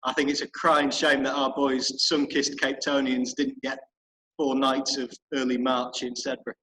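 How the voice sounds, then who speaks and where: A man with a North Yorkshire accent and a bright, crisp voice, heard on a video call with faint room noise behind him. He talks in a wry, teasing way. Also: medium pitch, level tone, moderate pace, long reverb tail, poor audio. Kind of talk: joking video call chat.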